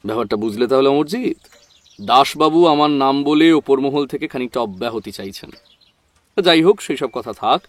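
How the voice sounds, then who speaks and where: A man's voice: a little high, no room sound, lightly acted. A man playing a genial, self-assured, lightly teasing private detective in an audio drama. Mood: teasing, curious. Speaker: actor in an audio detective story. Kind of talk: Bengali audio drama acting.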